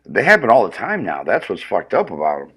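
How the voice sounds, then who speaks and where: Deep-voiced man ranting profanely. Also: huge pitch swings, a little fast, a bit bright, breathy edge. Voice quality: powerful voice. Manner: profane, rambling, restarts often. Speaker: comedian livestreaming. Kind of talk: casual comedic rant.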